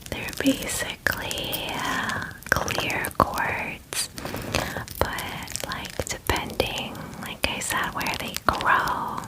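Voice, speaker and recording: A woman voicing a chatty, clingy female dragon in a breathy ASMR whisper, gushing casually like a best friend in a fantasy roleplay. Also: extremely slow, poor audio. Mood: doting, bubbly. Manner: gushing valley-girl chattiness, filler-heavy, casual.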